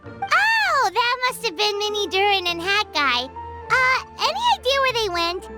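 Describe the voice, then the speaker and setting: Tiny squeaky fairy companion. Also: female voice, sing-song, unhurried, exaggerated voice. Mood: curious. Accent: American. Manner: perky, cartoonish, refers to herself by name. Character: tiny chirpy floating fairy girl companion, excitable and nosy. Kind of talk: English anime game dub. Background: game music.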